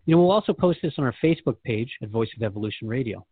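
A man's voice: mid-range voice, expressive, very fast, husky, dry recording. A man hosting a radio conversation, engaged and reflective, asking questions and paraphrasing what he hears. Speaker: radio show host. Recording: dry, thin phone-like band.

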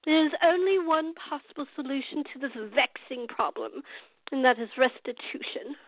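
Radio play role: imperious octogenarian woman acted, very high pitch, formal scolding, dry but phone-like sound. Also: normal speed, no echo, close to an everyday voice. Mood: stern, scolding. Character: cantankerous, commanding woman in her eighties. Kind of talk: radio theater acting. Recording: tinny, phone-like narrow band.

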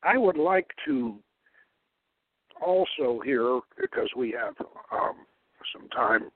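A man's voice: medium pitch, lively intonation, slow, no echo. Male radio host on a tinny line. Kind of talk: talk radio lecture, partly read aloud.

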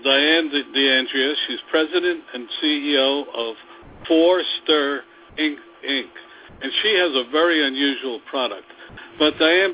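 A man hosting a round-table interview, halting and stumbling over names as he introduces a guest. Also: medium pitch. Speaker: host. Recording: tinny phone line with faint noise.

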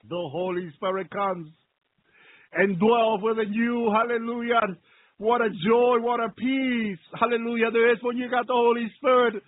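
A man's voice: extremely high pitch, level tone, normal speed, narrow, tinny audio. A male preacher giving a fervent, off-the-cuff sermon that slips into prayer, repeating phrases and punctuating them with exclamations of praise.

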